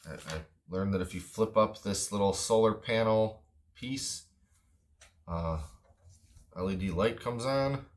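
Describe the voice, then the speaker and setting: A man's voice: fairly low pitch, a little slow, pauses often. A man talking through a product in a casual, unscripted review, matter-of-fact and understated.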